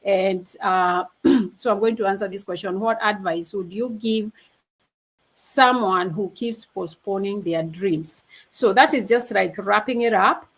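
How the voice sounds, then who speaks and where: An earnest young woman from Kenya talks casually on a video call, speaking at a medium pitch. She keeps restarting her sentences. She laughs easily as she urges people to act. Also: unhurried, rough recording. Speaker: panel speaker on a livestream. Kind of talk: casual motivational talk.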